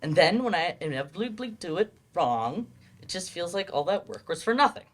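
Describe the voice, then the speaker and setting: Guarded male adult student, acted by a man: earnest, halting, self-doubting, lively swings in pitch, stage-play delivery. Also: exaggerated voice. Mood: anxious, explanatory.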